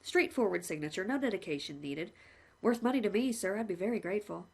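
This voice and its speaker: Woman doing a cheery male hangman, chatty, high and lively. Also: quick pace, creaky, breathy edge. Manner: cheerful, chatty, higher-pitched.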